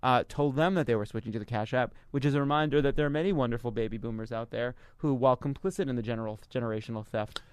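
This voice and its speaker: A man's voice: medium pitch, fast talker, few pauses, clear, resonant tone. A man hosting a comedic panel podcast, bantering with wry, teasing sarcasm. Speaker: podcast host.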